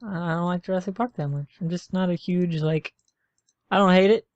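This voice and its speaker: A man's voice: sits high, fairly even intonation, brisk, no room sound. A man co-hosting a casual podcast, talking bluntly with sarcasm and swearing. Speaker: podcast co-host. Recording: dull lo-fi dry sound.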